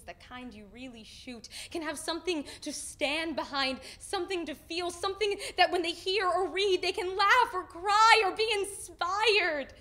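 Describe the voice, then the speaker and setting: A young woman recites spoken-word poetry on an indoor stage. Her voice is high, and she shapes each phrase deliberately and expressively, as a slam poet would. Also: pushed and bright. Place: indoor stage in front of a curtain.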